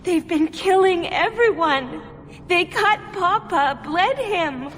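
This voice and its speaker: An actress playing a timid, innocent young servant slave in a video game, meek and naive in manner. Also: female voice, extremely high pitch, animated, slow, fairly bright tone, a little hiss or hum, full character voice. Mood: distraught, shaken. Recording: long echoing reverb, music underneath.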